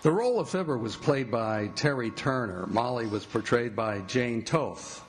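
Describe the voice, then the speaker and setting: A man's voice: mid-range voice, lively intonation, moderate pace, a loud crowd, very noisy. A man working as a radio announcer, reading scripted credits and advertisements in old-time radio style.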